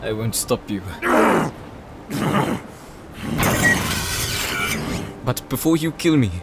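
A man's voice: medium pitch, animated, fairly quick, over loud music, close to an everyday voice. A man acting a wounded, devoted warrior brother in a dramatic fantasy audio roleplay, with a strained, emotive delivery. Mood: pained, resigned.

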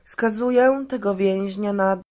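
Someone acting a haughty royal judge, slow formal proclaiming, fantasy audio drama. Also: medium pitch, darkish tone, lightly acted. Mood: stern, official. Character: stern, haughty royal judge passing sentence.

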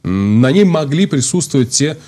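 A man's voice: mid-range voice, sing-song, normal speed, warm tone. A man in his thirties, a priest, explaining at length on a studio talk show, thinking aloud with many restarts and fillers. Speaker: priest guest. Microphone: lapel.

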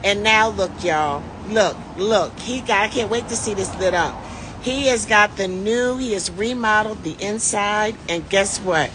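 A woman's voice: mid-range voice, measured pace, background noise. A middle-aged woman hosting a casual live selfie video outdoors on a city street, speaking enthusiastically and calling her viewers y'all, recorded on a phone held at arm's length. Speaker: vlog host and tour organizer. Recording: music playing clearly behind voice. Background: music.

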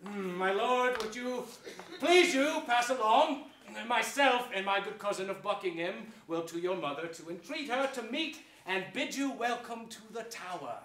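High-pitched scheming villain. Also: male voice, lively intonation, a bit bright. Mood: mock affection. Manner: sardonic chuckles, drawn-out deliberate phrasing. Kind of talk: theatrical Shakespearean staged reading. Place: theatre stage before an audience. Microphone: far camera. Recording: echoey stage, distant camera, noisy.

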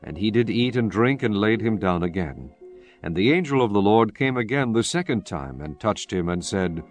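Low-voiced solemn male Bible narrator. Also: expressive. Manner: solemn, measured storytelling. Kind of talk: scripted dramatized Bible narration. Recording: music bed under the voice. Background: background music.

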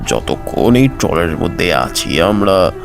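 A man voicing a calm, gruff elder fisherman uncle in a horror audio story, low and hushed, steadying and paternal. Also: unhurried, heavily acted. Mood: firm reassurance. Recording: music under the voice.

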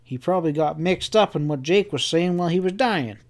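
A man voicing a stubborn, excitable cowboy in a Western audiobook, with a harsh rasp and a folksy drawl. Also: high voice, moderate pace, pitched above the performer's own voice. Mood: insistent. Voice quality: harsh, rasping. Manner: rustic Western drawl, folksy bad grammar. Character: gruff cowboy friend Sam, stubborn, excitable, fiercely loyal.

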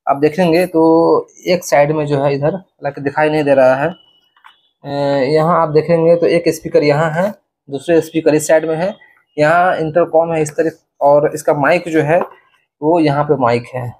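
A man's voice: a little high, fairly even intonation, moderate pace, darkish tone. A young man, explaining step by step to camera in a casual vlog style. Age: young adult. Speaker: vlogger. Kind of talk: casual product installation demo to camera. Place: indoors in a small bedroom.

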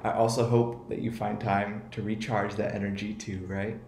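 A young man with a fairly deep voice gives a prepared award tribute. The sound is roomy and echoey, picked up a few feet away in a living room. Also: little pitch movement, normal speed, gravelly, darkish tone, a little hiss or hum. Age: 20s. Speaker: award presenter. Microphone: camera a few feet away.

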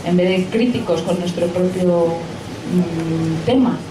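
A woman with an accent from Spain speaks up from the audience with a spoken question. Her pitch is on the low side. The recording is distant and echoey, with clear noise behind her. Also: takes it slowly. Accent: Spain Spanish. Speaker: audience questioner, science journalist. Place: pub event room, indoors. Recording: echoey room from afar, clear background noise.

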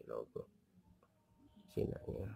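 A man giving a relaxed, chatty unboxing review of fishing gear, full of fillers and tag questions. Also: slow pace, a little hiss or hum. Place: indoors on a tiled floor.

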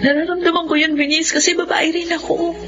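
A woman's voice: very high voice, level tone, brisk. A woman playing a caring, concerned young cousin in a Filipino radio drama, probing and sympathetic. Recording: muffled radio drama audio under music.

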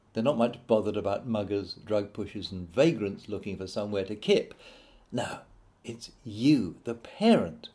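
A British man narrates a satirical audiobook in a mellow, unhurried voice. His pitch swings widely as he leans on key words and leaves deliberate pauses. The delivery is wry and theatrical. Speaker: author reading own book.

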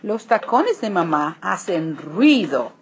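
Lady reading a kids book out loud real slow, very animated, kinda muffled recording w some noise. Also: fairly low pitch. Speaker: read-aloud narrator. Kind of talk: children's picture book read-aloud. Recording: dull lo-fi recording, clear background noise.

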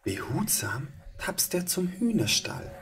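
A man narrating a children's story as storyteller, in a hushed, expressive manner. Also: fairly high pitch, slow pace, in a whisper, soft background music.

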